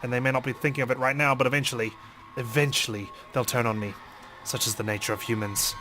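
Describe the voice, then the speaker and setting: A man's voice: mid-range voice, fairly even intonation, fast, bright, forceful tone, slight background noise. A man hosting a let's play, reading a visual novel aloud dramatically and commenting on it.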